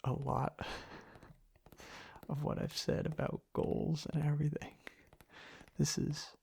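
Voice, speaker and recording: A man speaking in a soft, hushed, half-whispered ASMR ramble, breathy and gravelly, in unhurried halting phrases. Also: fairly high pitch, level tone. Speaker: ASMR content creator. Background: tapping and clicking sounds.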